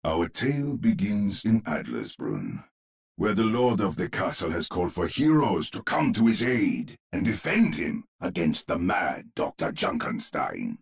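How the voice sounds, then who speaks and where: An actor voicing an old male storyteller with a gravelly, husky voice, narrating a dark fairy tale for a game in an ominous, measured way. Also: deep voice, huge pitch swings, exaggerated voice. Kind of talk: dramatic scripted narration.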